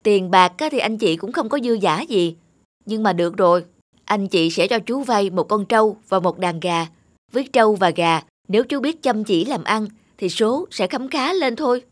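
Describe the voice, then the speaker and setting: A woman voicing a responsible, stern village elder brother in an animated folk tale, firm and admonishing. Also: medium pitch, normal speed, no room sound, lightly acted. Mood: advising. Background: background music.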